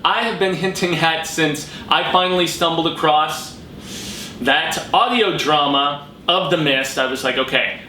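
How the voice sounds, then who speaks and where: An American man talking casually as a vlog host, excitable, emphatic and rambling, with plenty of slang. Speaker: host of a horror fiction review channel. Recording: roomy echo, background noise and rumble.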